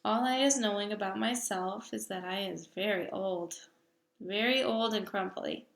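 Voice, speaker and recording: A woman voicing a very old, kindly male giant who talks slowly and loudly with jumbled grammar, in an echoey room. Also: gravelly. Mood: explaining. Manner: slow booming delivery with jumbled grammar.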